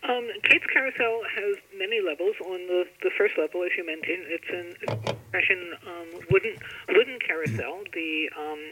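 A woman being interviewed as an author, explaining things in a deliberate, halting way with pauses between phrases over a thin telephone line. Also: fairly low pitch, pushed and bright, slight background noise. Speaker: author guest. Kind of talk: interview, explaining own novel.

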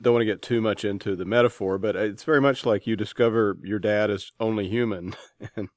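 Male podcast interviewer, lowish pitch, close dry sound, informal halting delivery. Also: fairly even intonation, no echo. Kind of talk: casual interview chat.

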